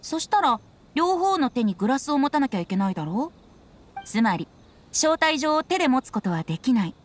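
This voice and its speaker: High, lilting boy bard. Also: very animated, moderate pace. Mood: breezily defensive. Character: youthful carefree boy bard, whimsical and tipsy-minded. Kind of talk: Japanese anime game dub.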